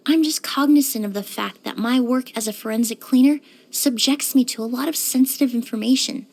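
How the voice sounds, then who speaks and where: A woman playing a courteous, composed young woman in a video game, speaking politely and earnestly with careful, measured wording. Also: fairly high pitch. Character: poised, courteous young woman perfumer and forensic cleaner. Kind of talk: scripted video game character voice lines.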